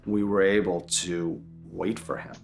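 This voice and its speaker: A man's voice: sits low, flat delivery, a little slow, creaky, a little mellow, soft background music. A man, a producer of the show, talking easily and full of praise in an interview. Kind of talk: featurette interview.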